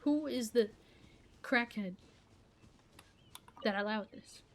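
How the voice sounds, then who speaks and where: A woman's voice: a little high, takes it slowly, fairly bright tone, slight background noise. A woman whose first language is Arabic, ranting casually to her viewers in a rambling, exasperated way that keeps breaking off mid-sentence. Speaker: gaming vlogger. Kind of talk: casual rant to camera.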